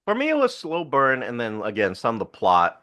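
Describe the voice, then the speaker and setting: A man's voice: medium pitch, wildly swooping pitch, brisk, pushed and bright. A man with a Southern US accent co-hosting a casual movie review podcast, laid-back and chuckling as he talks. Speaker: podcast co-host.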